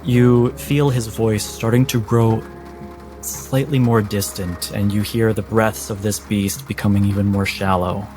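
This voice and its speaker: Guy running a tabletop game, really slow measured narration, flat even pitch, bit of music. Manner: measured, deliberate. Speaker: game master.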